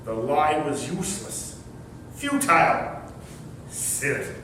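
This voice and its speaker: Man acting a pompous rich old uncle, slow, echoey. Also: animated, pushed and bright, heavily acted. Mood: indignant. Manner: pompous, oratorical, emphatic. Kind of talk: staged readers theater performance. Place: echoey room, distant mic. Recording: echoey hall from far off, rumbling noise.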